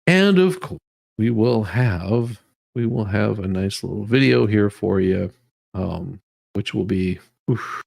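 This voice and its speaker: A man's voice: fairly low pitch, lively intonation, takes it slowly, creaky, darkish tone. A man hosting a livestream, reading documents aloud and adding informal, rambling commentary in which he often repeats words. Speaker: livestream host. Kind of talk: dramatic reading of legal documents with casual commentary.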